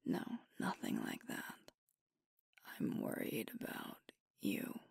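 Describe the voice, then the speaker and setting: A woman playing a sweet, devoted werewolf girlfriend in an ASMR roleplay, speaking in a hushed, breathy, tender voice close to the microphone. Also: no echo, lightly acted. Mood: tender, concerned. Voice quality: breathy, whispery. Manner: slow, tender, hushed delivery with pauses. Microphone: close microphone, dry.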